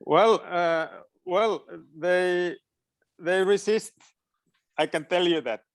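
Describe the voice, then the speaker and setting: A middle-aged native Finnish man speaks at a slow pace with a smooth, clear voice in a dry recording. He answers informally as an education expert, often pausing to search for words. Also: high voice, no echo. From Finland. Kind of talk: informal question-and-answer talk.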